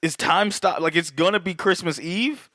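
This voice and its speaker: A young man talks loosely on a podcast, circling back and restarting his thoughts. His voice sits a touch high, and the sound is dry and close with no room. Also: moderate pace, bright, forceful tone, dry recording. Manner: rambling, many restarts and fillers. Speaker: podcast co-host. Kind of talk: casual chat.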